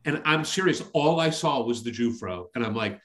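A middle-aged man with a loud voice, hosting a podcast and telling an anecdote in casual chat, exclaiming and acting out the dialogue as he goes. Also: mid-range voice, lively intonation, normal speed. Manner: exclamatory, acts out dialogue in his stories. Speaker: podcast host.

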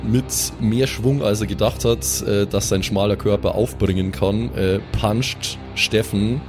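A man's voice: lowish voice, fairly even intonation, a little slow. A man improvising descriptive horror narration as game master, feeling out his phrases as he goes. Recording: loud music behind the voice. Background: music.

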